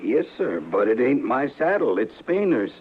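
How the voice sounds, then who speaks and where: A man's voice: medium pitch, sing-song, moderate pace, slightly rough. An actor playing a folksy, deferential frontier townsman with a rural American accent in a Western radio drama. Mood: eager, informative. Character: folksy, eager male frontier townsman reporting to marshal. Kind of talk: old-time Western radio drama acting. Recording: tinny, noisy old radio recording.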